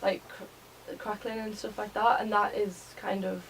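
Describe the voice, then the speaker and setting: A woman's voice: mid-range voice, little pitch movement, measured pace, vocal fry. Young woman presenting, slightly distant mic. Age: young adult. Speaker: college student presenting a project. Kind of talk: informal class presentation. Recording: distant mic, faint room noise.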